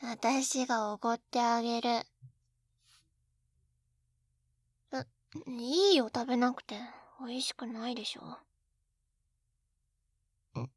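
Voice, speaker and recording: A woman's voice: a little high, unhurried, long pauses, dry recording, studio quality. A woman playing an eager, clumsy, sweetly pouty young wife in an intimate binaural roleplay, with a cutesy, flustered delivery. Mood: sulky, hurt. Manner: cutesy, flustered, pouting, hesitant little gasps. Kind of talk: Japanese situation-voice roleplay, intimate ASMR audio drama. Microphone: close binaural 3Dio microphone.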